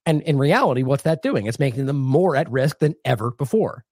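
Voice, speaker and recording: A man's voice: mid-range voice, expressive, brisk, darkish tone, breathy edge, no room sound, studio quality. A man hosting an independent news show, commenting on clips in a discursive way and often correcting himself mid-sentence. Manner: discursive, self-correcting, reads quotes aloud. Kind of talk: commentary over played clips.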